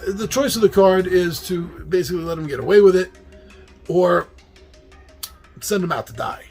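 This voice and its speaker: A man with a New York accent hosting a casual monologue about board games, chatty and quick to laugh at his own slips. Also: high voice, a little mellow, faint music behind. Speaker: host.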